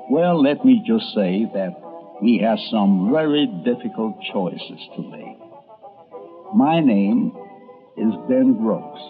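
A man's voice: medium pitch, narrow, tinny audio. An older man hosting a documentary, narrating from a script in a conversational storytelling way. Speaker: documentary host and radio columnist.

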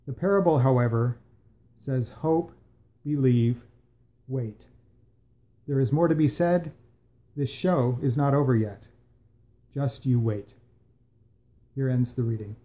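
A man in his sixties reading a reflective piece aloud for a worship service, with measured, expressive phrasing and deliberate pauses. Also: medium pitch. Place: small room at home, indoors.

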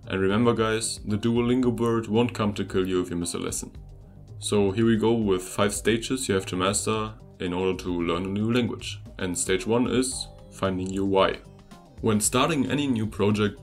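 A young man whose first language is German reads a scripted lesson in a fairly low voice, chatty and upbeat, talking straight to his viewers. A quiet guitar track plays softly behind him throughout. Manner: upbeat, conversational, addresses viewers directly. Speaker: language-learning video host. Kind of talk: scripted voice-over narration. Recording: voice-over with faint music behind.

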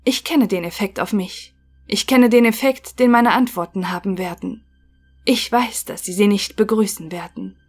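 A woman playing a formal, melancholic thinking machine in an audio drama, answering in clipped, measured phrases. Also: medium pitch, slightly rough, faint music behind, lightly acted. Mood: polite, worried.

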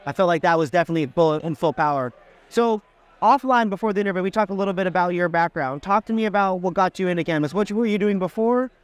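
A man's voice: high voice, fast, clean tone. A man in his thirties hosting a casual interview at an expo booth, chatty and enthusiastic with loose restarts.

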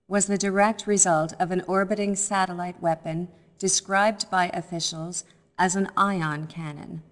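Low-voiced female news anchor. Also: in a large hall. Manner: measured, formal, precise. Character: composed, serious female television news anchor. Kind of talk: formal scripted TV newscast delivery. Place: studio newscast in a video game cutscene.

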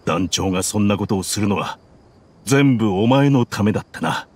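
A steady mercenary man, somber, lowish voice. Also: normal speed, breathy edge. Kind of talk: Japanese game dub.

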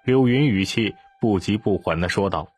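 A man's voice: lowish voice, moderate pace, darkish tone, husky. A man narrating a scripted audiobook story.

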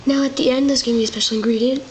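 A woman's voice: high voice, fairly even intonation, rapid-fire, darkish tone, natural voice. A female voicing a bossy, scatterbrained young male wizard hosting a cooking show in a homemade toy play. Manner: bossy, scatterbrained, giving orders. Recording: noisy home recording.

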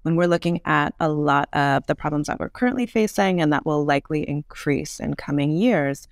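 A woman speaking as an interview guest, explaining things in an articulate, measured way. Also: low-pitched, creaky, no echo, studio quality. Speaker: podcast guest, urban designer.

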